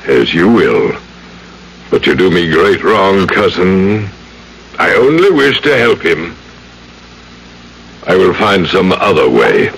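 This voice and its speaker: A pompous, menacing older judge in an old radio play. His low, unctuous voice rises and falls dramatically over faint noise. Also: sing-song, slow pace, vocal fry, long pauses, a bit bright, heavily acted, poor audio. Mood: menacing, insistent. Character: smooth-talking, menacing older judge, falsely genial villain.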